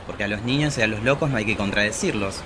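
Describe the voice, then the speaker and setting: A melodramatic, lovesick Argentine queer poet acted by a man confessing in a radio play. The voice is fairly low, wistful and theatrical, with clear noise in the background. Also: fast, close to an everyday voice. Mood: mournful. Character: lovelorn, melodramatic poetic queer soul, self-pitying and jealous. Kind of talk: scripted radio drama monologue.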